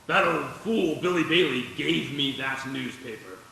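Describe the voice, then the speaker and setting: A man's voice: on the high side, animated, a little slow, creaky, pushed and bright, far from the mic, slight background noise, heavily acted. A man playing a cold, scornful old banker in a live radio play, on a very echoey stage.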